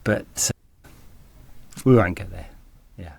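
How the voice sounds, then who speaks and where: A man co-hosting a football podcast, chatting casually with a slight cold that makes him a little raspy, often rambling and correcting himself. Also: low voice, slow pace, no room sound. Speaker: podcast co-host.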